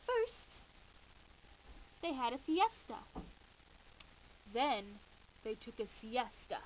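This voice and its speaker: A woman reading a children's bedtime story aloud in an expressive, animated way with dramatic emphasis. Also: fairly high pitch, drawn-out words, low-quality recording. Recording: faint hiss behind voice.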